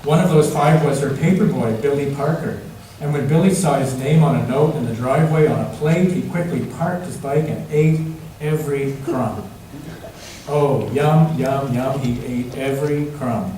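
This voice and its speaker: A man's voice: mid-range voice, moderate pace, warm tone. A man reading a picture book aloud to young children at church, repeating phrases and putting simple questions to them. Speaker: children's story reader at a church service. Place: large echoey room, church. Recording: echoey room, distant mic, faint noise.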